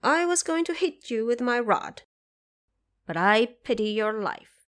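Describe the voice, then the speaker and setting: A cocky monkey trickster warrior, acted by a woman for an audio drama, pitched up above an ordinary talking voice. He taunts and talks down to his rival with mocking condescension. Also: sing-song, unhurried, fairly bright tone. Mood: mocking, taunting. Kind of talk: audio drama character acting.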